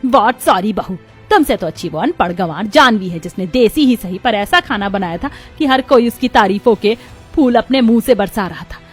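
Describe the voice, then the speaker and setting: A woman's voice: mid-range voice, expressive, moderate pace, breathy edge. A woman voicing a stern, scolding Indian mother-in-law in a dramatic Hindi moral story cartoon. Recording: music behind the voice. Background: background music.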